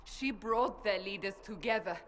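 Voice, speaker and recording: A woman's voice: mid-range voice, moderate pace, lightly acted. Woman acting a stern, commanding warrior, noisy backdrop. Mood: grave, resolute. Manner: forceful, clipped, commanding. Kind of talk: fantasy video game acting. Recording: game audio with clear background noise.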